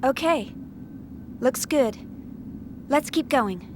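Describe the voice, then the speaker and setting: A young female engineer from a video game, with a high voice and a gentle, caring way of talking. She sounds conversational, with clear noise behind her. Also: expressive, slow pace. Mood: friendly, businesslike.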